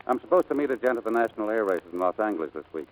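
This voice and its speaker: Actor as low-voiced breezy pilot, old radio. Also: male voice, expressive, rapid-fire, lightly acted, rough recording. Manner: slangy, offhand. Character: breezy, cocky, footloose male pilot, casually offhand. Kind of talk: 1940s radio drama acting. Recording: vintage 1940s radio broadcast.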